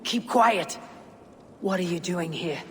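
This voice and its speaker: Stern Viking, highish voice. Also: male voice, expressive, takes it slowly, a bit bright, a little hiss or hum, full character voice. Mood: stern, alarmed. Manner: curt, stern. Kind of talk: video game voice acting.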